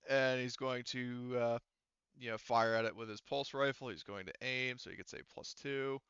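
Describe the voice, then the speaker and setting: Bright, clear-voiced man running a tabletop game. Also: mid-range voice, fairly even intonation, lo-fi sound. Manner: halting, hesitant phrasing. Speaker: game master. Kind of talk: casual tabletop game narration.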